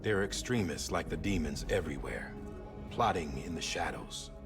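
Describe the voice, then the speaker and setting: An actor playing a solemn, persuasive middle-aged American government official in a video game, speaking in an earnest, deliberate way. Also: male voice, very deep voice, lively intonation, creaky, heavily acted. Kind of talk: dramatic cutscene acting. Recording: music under the dialogue.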